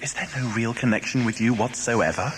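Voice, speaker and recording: A man's voice: mid-range voice, a little fast, slight background noise, close to an everyday voice. An actor playing an earnest, courteous male professor in an audio drama, explaining politely in an echoing space. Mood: explaining, urgent. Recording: echoey, long cavernous reverb. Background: music.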